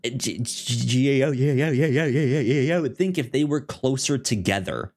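A man co-hosting a casual film chat in a halting way, often repeating the starts of words. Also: medium pitch, lively intonation, darkish tone. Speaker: podcast co-host.

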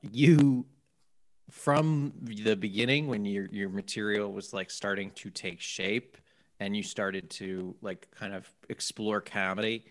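A man from New England co-hosting a podcast, asking rambling, halting questions. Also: on the low side, fairly even intonation. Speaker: podcast co-host. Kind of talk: casual podcast interview.

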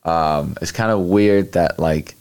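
A man's voice: deep voice, darkish tone, smooth. A young man answering interview questions casually, with lots of fillers and restarts. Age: young adult. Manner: halting, many fillers and restarts. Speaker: guest, college athlete.

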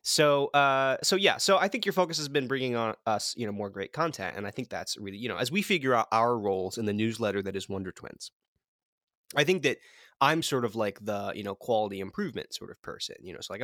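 A man hosting a podcast in a casual, playful, excitable chatting style. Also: medium pitch, fast talker, no room sound, studio quality. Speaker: podcast host.

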